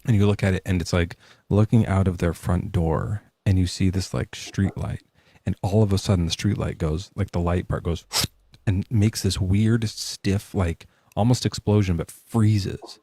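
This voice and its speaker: A man's voice: low voice, fairly even intonation, normal speed, dark tone, no echo, clean studio sound. A male podcast host talking casually from his garage in a jokey, loose way, with plenty of swearing. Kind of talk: casual debate chat. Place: home garage.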